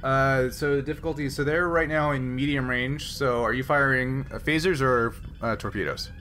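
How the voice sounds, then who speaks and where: A man's voice: mid-range voice, fairly even intonation, normal speed, sharp tone. A man running a tabletop game as game master, casually explaining rules in a hesitant, step-by-step way. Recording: noisy background ambience.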